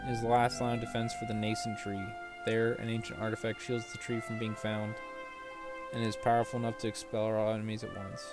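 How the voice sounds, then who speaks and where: Man gaming over loud music. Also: lowish voice, monotone, brisk. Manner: offhand, reads game dialogue aloud between remarks. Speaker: gaming video maker. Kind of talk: casual playthrough commentary and quest text read-aloud. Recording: game music behind the voice.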